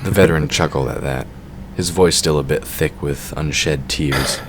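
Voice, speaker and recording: Male narrator, extremely low pitch, scripted storytelling, clear background noise. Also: steady tone, normal speed. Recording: clearly noisy background ambience.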